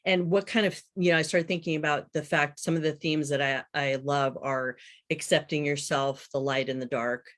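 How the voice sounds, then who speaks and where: A woman's voice: low-pitched, fairly even intonation, normal speed, a bit bright. A woman in her fifties speaking as a guest author, chatting casually and explaining things in an interview. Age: 50s.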